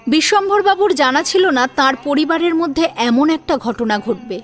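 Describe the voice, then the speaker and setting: High-pitched woman narrating a drama. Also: lively intonation, normal speed, soft background music. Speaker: narrator and voice actor. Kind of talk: dramatic scripted storytelling.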